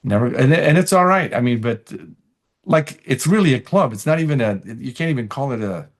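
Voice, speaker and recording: A man's voice: mid-range voice, lively intonation, fairly quick. Man in casual, anecdote-filled interview chat. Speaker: comedian guest.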